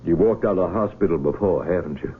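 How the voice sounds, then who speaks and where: An actor playing a calm, persuasive physician in a radio drama. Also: male voice, low voice, fast talker, breathy edge. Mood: coaxing. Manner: patient, persuasive. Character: calm middle-aged male physician, coaxing. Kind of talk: 1970s radio drama acting. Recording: tinny old radio broadcast.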